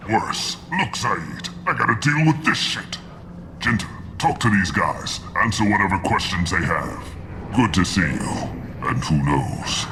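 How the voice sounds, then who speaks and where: Gravelly, hot-tempered mercenary boss. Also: male voice, sits low, expressive, unhurried, sharp tone, slight background noise, exaggerated voice. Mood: irritated, weary. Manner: barking orders, impatient, swearing. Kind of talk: video game voice acting.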